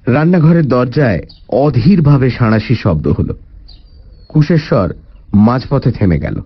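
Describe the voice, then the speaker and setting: A man's voice: medium pitch, animated, a little slow, highs cut off. A man narrating a detective story in the first person as a dramatized storybook reading.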